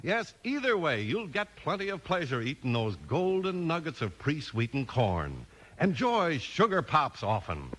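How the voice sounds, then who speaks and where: A man's voice: on the high side, wildly swooping pitch, a little slow, a bit bright. A man doing polished, emphatic scripted announcing and narration for a 1950s radio show. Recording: old 1950s radio recording.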